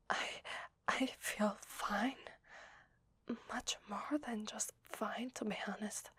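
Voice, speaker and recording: A woman with an Italian accent voicing a shy, needy, grateful vampire lady in hesitant, breathy whispered ASMR roleplay, close to the microphone. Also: mid-range voice, no echo, heavily acted. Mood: grateful, relieved. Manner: hesitant, soft, halting. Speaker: ASMR voice actor. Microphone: close microphone, dry.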